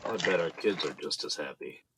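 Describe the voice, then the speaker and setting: A man playing a teasing, jokey husband and father in an audio drama, with a husky voice. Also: sits low, expressive, normal speed, background noise. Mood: playful. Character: playful, teasing middle-aged husband and proud father.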